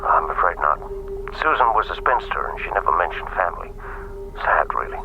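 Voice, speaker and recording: An actor playing a reserved, faintly wistful older retired agent, speaking in measured phrases over a phone in a radio drama. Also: male voice, deep voice, big pitch swings, vocal fry, full character voice. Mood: matter-of-fact, wistful. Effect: telephone filter. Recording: tinny, noisy phone line.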